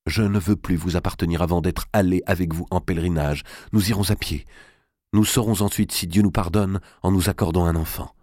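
A man reading an audiobook, voicing a pious, gentle young queen who speaks softly and with quiet firmness. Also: sits low, steady tone, brisk, no room sound, studio quality. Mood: hushed, firm. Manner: soft, hushed, restrained.

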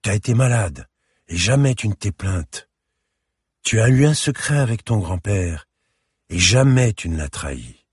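A man's voice: lowish voice, lively intonation, a little slow, a little mellow, husky, dry recording, clean studio sound, voice pushed up, heavily acted. A man voicing a tender, plain-spoken father who admits he is no good with words, in an audiobook. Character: gentle, awkward father comforting his daughter.

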